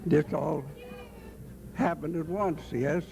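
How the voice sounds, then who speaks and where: A man's voice: fairly high pitch, takes it slowly, creaky, mellow, low-quality recording. A man of ninety-five answering casually in an interview as a retirement home resident.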